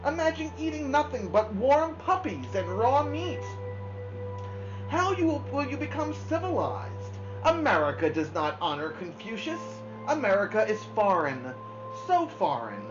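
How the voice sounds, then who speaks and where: Fretful older aunties from a storybook, all acted by one woman, lamenting in a high, swooping, overwrought voice. Music plays clearly behind. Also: sing-song, a little slow. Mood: dismayed wailing. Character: fretting, wailing older female relatives. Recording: dull lo-fi sound, music behind.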